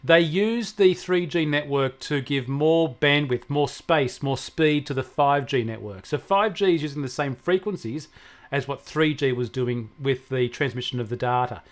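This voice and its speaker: A man's voice: mid-range voice, moderate pace. An Australian man talking conversationally as a technology commentator, explaining things emphatically and building his points through repetition. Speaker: technology commentator on a regular show.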